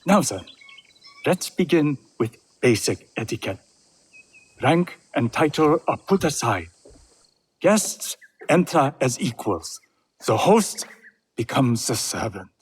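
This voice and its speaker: A serene, elderly tea master from a historical video game. His voice is husky and unhurried, each phrase set down gently and deliberately. He speaks as a gracious mentor. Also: fairly high pitch, big pitch swings, heavily acted. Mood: patient, instructive. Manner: measured, gentle, deliberate phrasing with soft chuckles. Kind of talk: video game cutscene acting.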